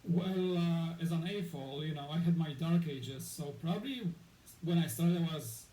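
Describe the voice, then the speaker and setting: Man from Poland with a mellow voice. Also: on the high side, moderate pace. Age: 30s. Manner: rambling, many fillers and restarts. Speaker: guest. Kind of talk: casual interview chat. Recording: echoey room with faint noise.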